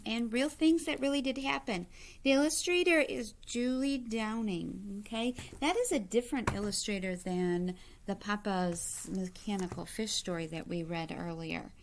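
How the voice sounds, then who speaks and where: A woman with a mid-pitched voice reads a children's picture book aloud at a slow pace. She sounds like a teacher, stepping out of the text to explain things and to ask her young listeners simple questions.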